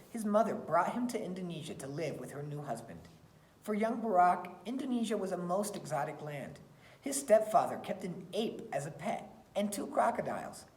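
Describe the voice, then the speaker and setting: A woman reading a children's picture book aloud in an expressive storytelling style. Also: mid-range voice. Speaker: read-aloud reader. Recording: roomy, echoey sound.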